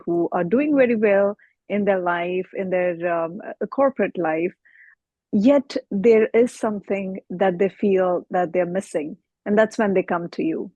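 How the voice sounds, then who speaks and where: A woman host runs an online talk show, greeting her guest and asking questions with frequent affirming hums. Her voice sits at an ordinary pitch. The sound is dry and a little dull. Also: warm tone, no echo. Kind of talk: interview hosting.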